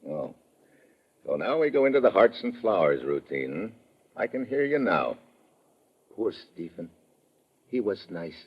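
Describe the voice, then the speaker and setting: A man playing a tough, wisecracking undercover investigator in 1950s radio drama, husky, blunt and sardonic, on a dull old radio recording. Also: on the low side, big pitch swings, a little slow, pauses often, heavily acted. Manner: hard-boiled, sardonic, blunt.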